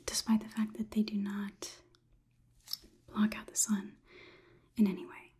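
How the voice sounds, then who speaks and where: A young woman playing a polite, curious, clinical robot overlord in a whispered ASMR roleplay, with measured, formal delivery. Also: mid-range voice, monotone, warm tone, close to an everyday voice. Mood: curious, deliberate. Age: young adult. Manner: slow, measured, deliberate, formal wording. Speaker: ASMR performer.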